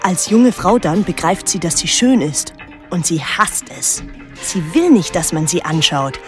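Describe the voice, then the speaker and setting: An actress playing a reflective daughter who narrates a literary radio drama in a measured, introspective way. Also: female voice, lowish voice, animated, faint music behind. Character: reflective daughter recalling her reclusive painter mother.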